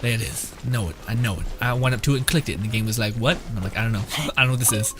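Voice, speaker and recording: A man's voice: lowish voice, rapid-fire, music in the background. A man giving casual gameplay commentary as a streamer, informal and chuckling. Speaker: video game streamer.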